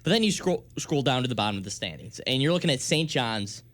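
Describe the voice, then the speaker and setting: Animated American man hosting sports talk. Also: mid-range voice, fast talker. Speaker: sports radio talk show host.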